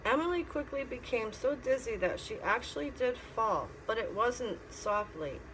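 A middle-aged woman reads a picture book aloud as a teacher, pausing to explain and ask simple questions. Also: a little high, animated. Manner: instructive, asking simple questions. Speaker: teacher reading to children. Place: classroom. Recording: clear background noise.

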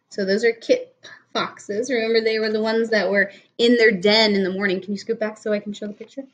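A woman in her forties, a speech teacher, reading a picture book aloud to a young child in a home kitchen and asking him questions in a patient, teacherly way, picked up by a webcam across the table. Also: on the high side. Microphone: webcam at table distance.

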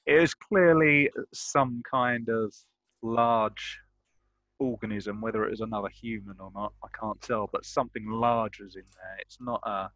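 A man's voice: on the low side, unhurried, a bit bright, no echo, highs cut off. A man speaking casually and in character as a player in an online tabletop roleplaying game. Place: indoors at home on a group video call.

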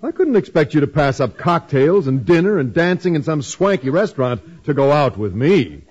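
Earnest, stiff American schoolteacher, animated. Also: male voice, a little high, normal speed, slightly rough. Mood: wounded, jealous. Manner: stiff, proper, wounded. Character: earnest, jealous, self-effacing bashful male schoolteacher. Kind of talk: 1950s radio situation comedy acting. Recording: dull band-limited 1950s radio recording.

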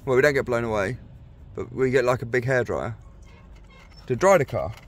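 A parent in a car going through a car wash, calmly reassuring a small child and explaining what the machine does. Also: mid-range voice, moderate pace. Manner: soothing, reassuring. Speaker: parent filming a vlog. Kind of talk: casual chat reassuring a child. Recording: loud car wash noise behind. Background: loud brushes and blowers of a car wash.

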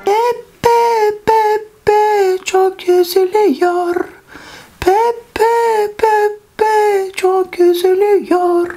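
A tiny, babyish cartoon boy who sounds sad. The voice is extremely high and very slow, with faint music behind. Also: steady tone, warm tone, full character voice. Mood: sad, childlike.

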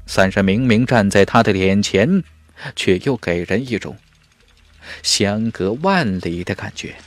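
A man's voice: lowish voice, expressive, measured pace, no room sound. A man narrating a fantasy audiobook in a dramatic, emphatic storytelling manner. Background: music.

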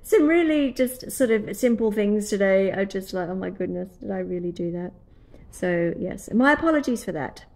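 A woman's voice: medium pitch, animated, moderate pace, vocal fry, a little mellow. A woman with an Australian accent, a bit nasal from a cold, chatting through a nail tutorial voice-over and explaining each step. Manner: chatty, explanatory, apologetic asides.

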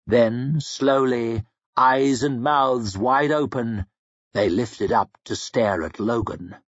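A man's voice: mid-range voice, slow, no room sound, lo-fi sound. A man narrating fiction as an audiobook reader, dramatic, grave and suspenseful.

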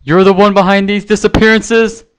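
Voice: mid-range voice, flat delivery, moderate pace, smooth, voice pushed up, lightly acted. A narrator voicing a young stallion guard captain who speaks in firm, clipped orders. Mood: angry.

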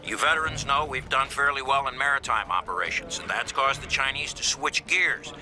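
A confident military commander, played by a male actor gives a scripted war-game briefing. He is brisk and authoritative, with a mid-pitched voice over a music bed. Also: lightly acted, poor audio. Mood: firm, resolute. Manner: brisk, authoritative, hard-edged.

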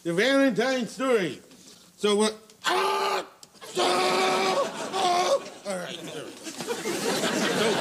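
A man's voice: high voice, full character voice. A man playing a bumbling, tipsy elderly children's storytime host in a comedy sketch, stammering and losing his thread. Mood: flustered cheer. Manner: rambling, stammering, loses his thread, trails off. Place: living-room studio set with audience. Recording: noisy TV studio, audience behind.

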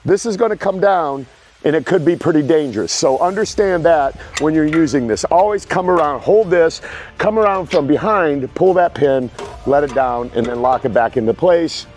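A middle-aged man with a slightly high voice talks plainly and frankly about a piece of home gym equipment. He walks the listener through it step by step in a casual review style. He is recorded outdoors in a backyard on a clip-on mic, with faint music playing underneath. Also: expressive, moderate pace, darkish tone. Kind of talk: casual product review to camera.

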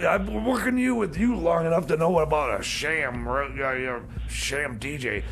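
A man's voice: a little high, normal speed, vocal fry, a bit bright. A man playing a bumbling, nervous substitute DJ in comedy radio theater, stammering and repeating his words indignantly. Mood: flustered, indignant.

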